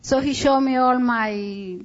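Colombian woman missionary, fairly high voice, slow halting report talk, dull lo-fi recording. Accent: Colombian Spanish. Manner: halting, searching for English words.

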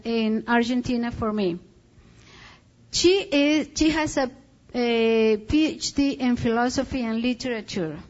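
Colombian woman missionary, fairly high voice, slow halting report talk, dull lo-fi recording. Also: a little mellow. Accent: Colombian Spanish. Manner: halting, searching for English words.

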